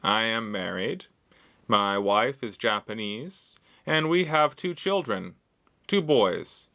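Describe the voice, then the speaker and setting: A man's voice: lowish voice, animated, slow, sharp tone. A man in his thirties from Canada's West Coast, talking about himself in simple sentences for English learners, with frequent "uh" fillers. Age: 30s. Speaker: English teacher. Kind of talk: slow, clear lesson monologue for learners.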